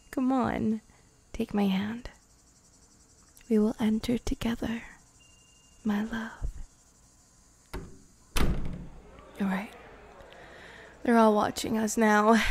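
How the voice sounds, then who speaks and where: A woman voicing a possessive, menacing young vampire bride in an intimate, teasing ASMR roleplay, breathy and often whispering. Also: fairly high pitch, darkish tone, slight background noise. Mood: tender, teasing. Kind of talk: ASMR girlfriend roleplay, improvised.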